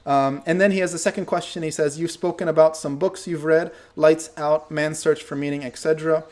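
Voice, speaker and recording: A young man hosting his channel, who says English is not his first language, answering viewer questions in a relaxed, thinking-aloud way. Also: fairly high pitch, a little fast. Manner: relaxed, thinks aloud with fillers and self-corrections. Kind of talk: casual Q&A talk to camera.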